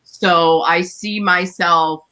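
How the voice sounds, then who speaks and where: Guest DJ, woman, bright and forceful tone, relaxed chatty delivery over a video call. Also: fairly low pitch, extremely slow. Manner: laughs often, loose and chatty. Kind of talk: casual podcast chat.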